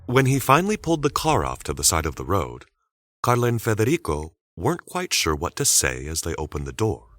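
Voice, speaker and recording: A man's voice: low-pitched, huge pitch swings, fairly quick, fairly bright tone. A man reading scripted, dramatic story narration as the narrator of an audio tale.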